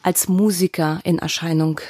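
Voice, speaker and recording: A woman's voice: lowish voice, steady tone, normal speed, darkish tone, dry recording. A woman hosting a podcast interview in a studio, speaking in a conversational way.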